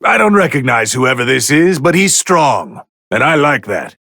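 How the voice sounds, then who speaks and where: Pompous god of destruction for a fighting game, raspy fry, sharp bright tone, drawly disdain, swings up and down. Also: male voice, medium pitch, big pitch swings, normal speed, dry recording, clean studio sound, full character voice. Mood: intrigued. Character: haughty, bored god of destruction, male, easily irritated. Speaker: fighting game commentator character. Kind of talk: video game commentary voice lines.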